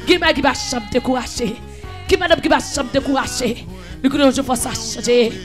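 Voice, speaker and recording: A man leading an impassioned prayer, pleading and repeating phrases, half singing and at times shouting. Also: extremely high pitch, fairly quick, music in the background. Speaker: prayer leader. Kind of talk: fervent chanted prayer.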